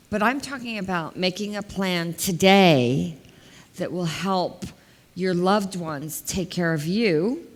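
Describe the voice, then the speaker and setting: Woman, 50s, elder law attorney, big slow voice, lower pitch, long hall reverb on a headset mic. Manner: plain-spoken, explains step by step with examples. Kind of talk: community talk. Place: large hall, indoors.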